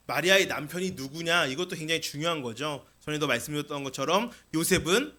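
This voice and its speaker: A man's voice: a little high, bright, forceful tone. A man preaching a sermon as a pastor, explaining scripture with rhetorical questions and repeated key phrases.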